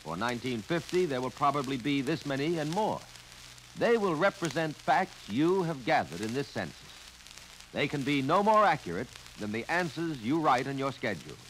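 An American man narrating a 1950 instructional film in a clear, instructive manner that addresses the listener directly. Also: medium pitch, moderate pace. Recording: noisy 1950 filmstrip soundtrack, steady crackle.

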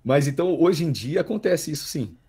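A man in his thirties with a Brazilian accent, explaining things in a halting, casual podcast chat as a guest. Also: fairly high pitch, expressive, dark tone, breathy edge. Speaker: guest, voice actor.

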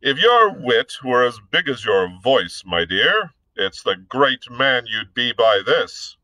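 A man's voice: on the low side, very animated, a little slow, pushed and bright, no echo, pitched above the performer's own voice, exaggerated voice, poor audio. A man reading aloud, voicing a witty, composed physician. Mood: wry. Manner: wry, composed. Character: witty, cool Irish physician, middle-aged man.

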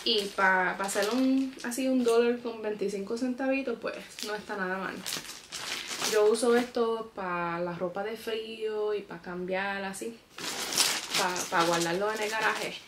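A woman's voice: mid-range voice, a little slow, noisy background. Chatty Bronx-born woman. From New York, the Bronx. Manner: chatty, mixes English words into her Spanish. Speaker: family vlogger. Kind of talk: casual vlog chat to camera. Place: at home, packing a suitcase.

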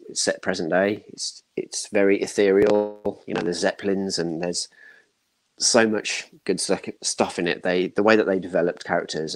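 A man's voice: deep voice, rough recording. A man in his thirties chatting casually as a panel guest, giving opinions in short broken bursts. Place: home room, indoors, video call.

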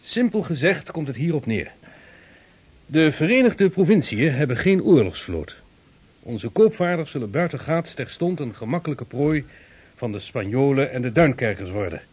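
An actor playing a weighty, deliberate statesman in a Dutch radio drama, formal and emphatic in his old-world rhetoric. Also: medium pitch, very animated, darkish tone, breathy edge. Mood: grave, emphatic.

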